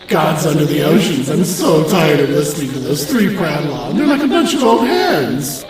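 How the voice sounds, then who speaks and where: A man's voice: a little high, big pitch swings, normal speed, very echoey, distant mic, low-quality recording. A man playing a vain, gossipy talking suit of armor in a comic audio drama, with a campy, gushing, sassy drawl. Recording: music behind the voice.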